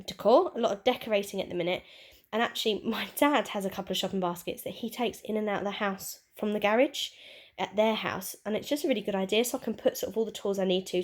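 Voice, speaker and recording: A young woman with a stuffy, bunged-up voice, chatting casually and rambling through a shopping haul. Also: mid-range voice. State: bunged up with a cold. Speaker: craft vlogger and teacher. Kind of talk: casual haul vlog chat.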